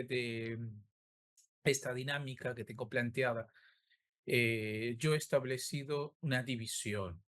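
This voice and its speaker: A man giving an online law lecture over a video call, speaking with a sore throat and many hesitant filler sounds. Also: mid-range voice.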